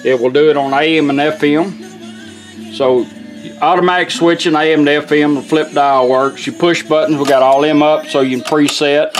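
Higher-voiced folksy man giving a demo. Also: fairly even intonation, normal speed. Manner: folksy, plainspoken. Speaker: vintage radio restorer demonstrating a product. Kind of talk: informal hands-on product demonstration. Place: indoor workshop bench. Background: music from the radio.

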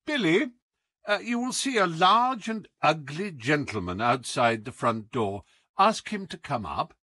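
A man voicing a sharp, commanding detective in an audiobook, coolly taunting, with deliberate, teasing emphasis. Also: on the high side, sing-song, no room sound, voice pushed up, heavily acted. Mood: calm, persuasive. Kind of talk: audiobook character acting.

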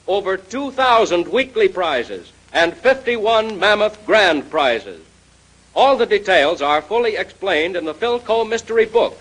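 A man's voice: high voice, fairly bright tone, low-quality recording. A man with an American accent announcing in scripted 1930s radio style.

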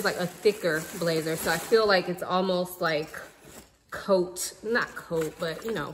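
A woman's voice: on the low side, in a large hall, a little hiss or hum. A woman in her thirties chatting casually to viewers about things she bought, seated at home in front of the camera. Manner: chatty, relaxed, addresses viewers as y'all. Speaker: vlogger. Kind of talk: casual haul vlog chat. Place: living room at home, indoors.

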